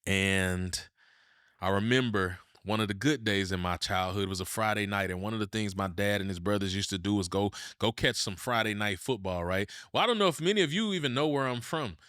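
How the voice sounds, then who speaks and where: A man from Mississippi, hosting a podcast and telling a personal story in an animated way, going low and slow for the serious parts. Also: clear, resonant tone, no room sound, studio quality. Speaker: podcast host.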